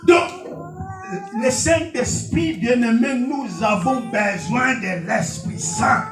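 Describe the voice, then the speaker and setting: A man whose first language is Portuguese, preaching an impassioned sermon in a church and often shouting. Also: very high voice, sing-song, takes it slowly. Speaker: pastor. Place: church hall. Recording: echoey hall, distant mic, faint music.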